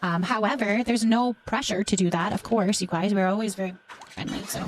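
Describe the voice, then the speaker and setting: A woman's voice: mid-range voice, fairly even intonation, brisk, slight background noise. A Canadian woman hosting a casual livestream, reading out and answering comments in an affectionate, encouraging way. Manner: affectionate, encouraging, addresses listeners with endearments. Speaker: livestream host.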